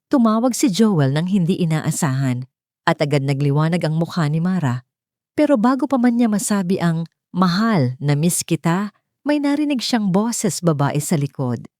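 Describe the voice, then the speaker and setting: A woman's voice: deep voice, darkish tone, no echo, clean studio sound. A woman narrating a scripted dramatic story.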